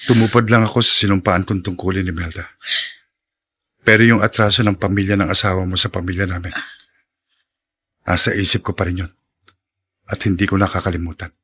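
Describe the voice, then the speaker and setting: A fairly low-voiced Filipino village doctor, earnest and firm. He speaks in measured radio drama style with a strong sense of duty. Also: level tone, a bit bright, slightly rough. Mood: firm, composed. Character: dutiful, firm, composed male village doctor.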